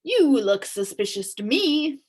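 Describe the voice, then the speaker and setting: A woman's voice: high-pitched, big pitch swings, a little slow, husky, voice pushed up, exaggerated voice. A woman voicing a tiny, squat, fierce policeman in a storybook, blustering, officious and full of accusations. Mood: thundering.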